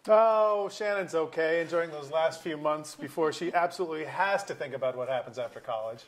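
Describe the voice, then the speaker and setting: A man's voice: a little high, a little fast, natural voice. A man acting a blunt, sardonic middle-aged husband and father in a staged comedy reading. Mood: resigned. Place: auditorium stage.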